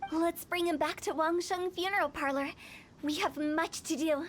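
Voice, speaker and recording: A woman's voice: very high-pitched, steady tone, moderate pace, slight background noise. A woman playing a quirky, mischievous young funeral parlor director in a video game, playful and teasing. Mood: matter-of-fact.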